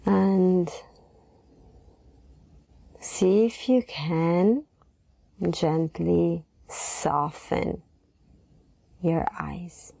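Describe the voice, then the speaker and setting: Slow, mellow woman guiding a meditation. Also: fairly low pitch, steady tone, vocal fry, dry recording. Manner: gentle, unhurried, pausing between phrases. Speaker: meditation guide. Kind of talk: guided meditation.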